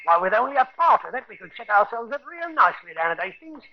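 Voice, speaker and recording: An actor playing a scheming, wheedling Victorian barber and publican in a radio drama. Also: male voice, high-pitched, very animated, fast. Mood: cajoling. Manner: colloquial, cajoling, brash. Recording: muffled 1950s radio recording.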